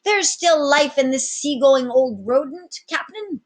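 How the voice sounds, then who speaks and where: A woman's voice: high voice, expressive, a little slow, voice pushed up. A woman reading aloud as an uncouth male pirate crewman, with folksy, exclamatory sailor talk. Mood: protesting. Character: rough, uncouth male pirate crewmen, old sea dogs.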